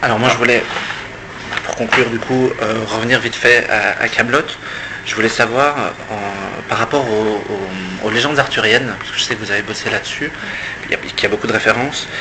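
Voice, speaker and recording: A man's voice: fairly low pitch, fairly quick. Hesitant man asking a question, noisy room. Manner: hesitant, careful, detailed questions. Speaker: audience member asking questions. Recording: clear background noise.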